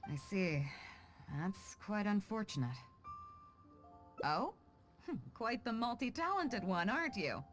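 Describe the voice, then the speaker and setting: Confident, lively woman sea captain. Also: mid-range voice, slow, long pauses, faint music behind. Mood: teasing, breezy. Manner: teasing, assured, instructive. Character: confident, playful woman ship captain training new crew. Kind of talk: video game voice acting.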